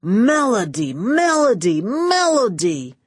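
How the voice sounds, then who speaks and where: A woman's voice: a little high, very animated, slow pace, slightly rough, no room sound, pitched above the performer's own voice, heavily acted. A woman voicing a gushing, shifty male film studio boss in an audiobook, effusive and slangy in a confiding way.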